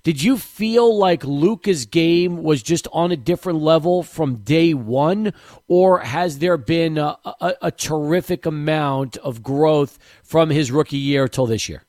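A man's voice: fairly high pitch, a little slow, a little mellow, no echo, clean studio sound. A man hosting a sports talk radio show, eagerly setting up long questions for his guest.

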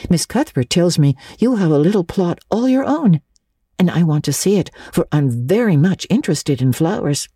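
A woman voicing a merry, kindly minister's wife in a storybook, gentle and reassuring. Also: fairly low pitch, expressive, normal speed, slightly rough, no echo, studio quality. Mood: gently comforting.

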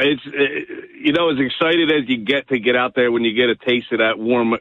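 A man's voice: medium pitch, dry recording. A man, a guest angler calling in to a radio show, talking in a friendly, enthusiastic way. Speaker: guest, tournament bass angler. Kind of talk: phone-in interview. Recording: tinny phone line.

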